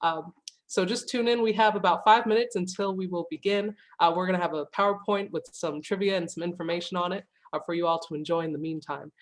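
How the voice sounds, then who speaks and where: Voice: mid-range voice, steady tone, fast talker. An event host giving an informal, welcoming announcement to online viewers. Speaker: host of a museum youth program.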